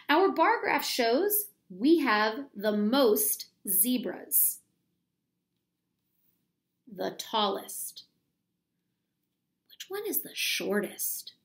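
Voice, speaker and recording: Animated woman reading to children, high-pitched. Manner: instructional, deliberate, questioning, addressing young children. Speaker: elementary teacher reading aloud. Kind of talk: children's picture book read-aloud with teaching asides. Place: small plain room at home, indoors.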